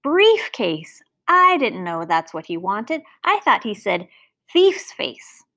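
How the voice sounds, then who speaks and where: A woman's voice: medium pitch, sing-song, slow pace, pushed and bright, exaggerated voice. A woman voicing a mischievous young child in a storybook, with a mock-innocent, sing-song delivery.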